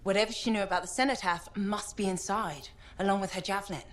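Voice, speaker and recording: An actress playing an assured, purposeful expert in arcane matters in a video game, confident and measured. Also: female voice, medium pitch, fairly even intonation, slight background noise, natural voice. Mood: resolute. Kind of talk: video game cutscene acting.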